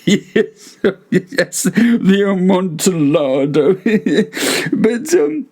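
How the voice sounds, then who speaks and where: A man voicing a doomed, terrified nobleman in a story reading, shrill and halting, desperately laughing things off. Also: big pitch swings, a little mellow, exaggerated voice. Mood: hysterical amusement. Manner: halting, stammering, punctuated by giggles.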